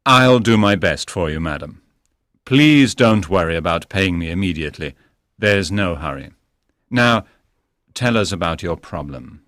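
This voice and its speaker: A man acts out a courteous gentleman detective from a storybook. His voice sits low and moves with very lively intonation. He is measured, polite and reassuring, recorded close and dry. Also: no echo. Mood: reassuring, businesslike. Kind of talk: dramatised audiobook reading.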